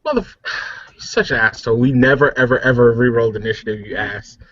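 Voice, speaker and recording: A man's voice: medium pitch, fairly quick, fairly bright tone, lo-fi sound. A man playing a tabletop roleplaying game, teasing and swearing in casual banter.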